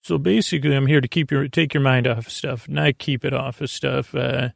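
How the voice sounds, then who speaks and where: A man with a creaky voice hosting a bedtime podcast, meandering hesitantly through a soothing, goofy monologue that keeps interrupting itself. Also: mid-range voice, steady tone, fairly quick, no echo, clean studio sound. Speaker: podcast host.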